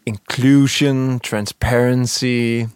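Young guy on a podcast, calm explainer, dry humor, mid pitch, no room sound. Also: slow, smooth, no room sound. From Denmark. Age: young adult. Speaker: podcast co-host. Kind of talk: casual podcast chat.

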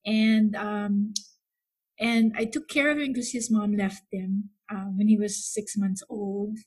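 A woman, talking thoughtfully as a podcast host with many pauses. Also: mid-range voice, fairly even intonation, darkish tone, poor audio. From Philippines. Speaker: psychotherapist. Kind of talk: casual podcast chat.

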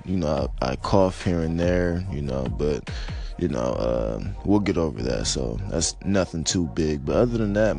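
A low-pitched American man with a slight rasp speaks off the cuff over music. His delivery is casual and laid-back, wandering and hesitant, with plenty of conversational filler. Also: a little mellow. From United States. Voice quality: slightly raspy. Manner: hesitant, laid-back, rambling with frequent "you know" and "man". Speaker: podcaster or vlogger giving personal commentary. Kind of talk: casual unscripted monologue on news and life.

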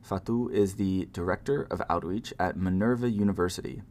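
A man with a very low voice hosts a podcast in a relaxed, chatty interview style. He rambles a little, leaning on fillers and restarts as he builds up his questions. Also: fairly quick. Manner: friendly, rambling with many fillers. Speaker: podcast host, researcher and educator.

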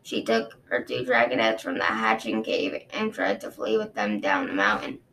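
Woman doing a low-voiced male dragon guard. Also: sing-song, gravelly, fairly bright tone, a little hiss or hum, full character voice. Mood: earnest. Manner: low, measured, earnest. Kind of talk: audiobook character reading.

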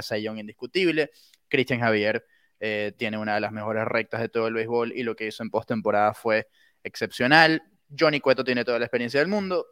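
A man on a sports panel giving a measured, polite analysis of a team. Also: medium pitch, a bit bright, clear, resonant tone, no room sound, studio quality. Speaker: baseball analyst.

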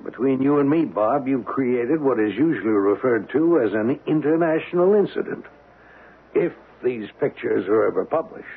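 A gravelly, cynical American embassy man in a vintage radio thriller. He is wry and clipped, used to giving orders, with a husky edge to his voice. It comes through thin and tinny, like an old broadcast. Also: mid-range voice, animated, moderate pace. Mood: sardonic. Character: brisk, cynical American embassy official, male. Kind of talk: old-time radio drama acting.

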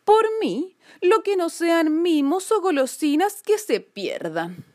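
Storybook tomcat, female performer: pot-bellied, sleepy, grumpy, slow indignant drawl. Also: extremely high pitch, sing-song, a little mellow, no room sound, clean studio sound, voice pushed up, full character voice. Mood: grumpy, indifferent. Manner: indignant, self-centred, drawling. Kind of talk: children's storybook character voice.

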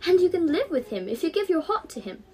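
A woman's voice: extremely high pitch, very animated, fast talker, a little mellow, voice pushed up. A teenage girl voicing a kind, devout young schoolgirl in a storybook, earnest and emphatic. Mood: reassuring, emphatic.

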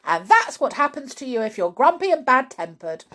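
A woman's voice: high-pitched, big pitch swings, normal speed, a bit bright, voice pushed up. A woman voicing a callous, cranky husband in a children's storybook, emphatic and smug. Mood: gloating.

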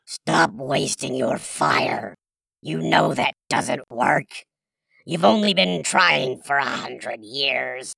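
Very slow hissing dragon. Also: male voice, medium pitch, recorded from a distance, a crowd in the background, pitched above the performer's own voice. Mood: weary scolding. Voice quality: hissing, gravelly. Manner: drawn-out, chiding. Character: hissing trailing dragon, long-suffering scold.

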